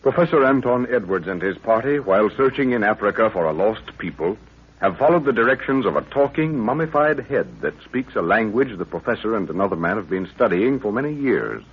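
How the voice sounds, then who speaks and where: Serial narrator, dramatic, portentous, measured, husky medium-pitched voice, phone-thin 1930s radio recording. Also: male voice, animated, narrow, tinny audio. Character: dramatic announcer of an African jungle adventure serial.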